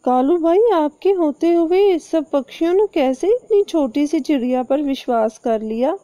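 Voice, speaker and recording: A woman voicing a sly male cartoon parrot who pleads and flatters in an ingratiating manner. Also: sits high, moderate pace, dry recording, pitched above the performer's own voice.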